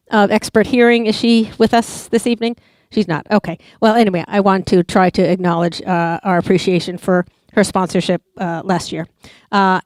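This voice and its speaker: A woman's voice: medium pitch, no room sound. Hesitant woman host reading announcements. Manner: hesitant, with many uhs. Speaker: event host. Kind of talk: announcements and speaker introduction.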